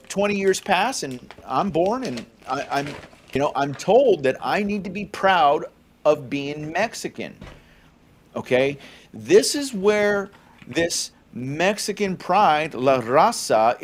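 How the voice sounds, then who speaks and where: A man's voice: fairly high pitch, sing-song, slow, a little hiss or hum. A man in his forties from California, co-hosting a faith podcast, talking conversationally with many fillers and a frequent "okay?". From California, USA. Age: 40s. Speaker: podcast co-host. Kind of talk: faith-centered podcast commentary.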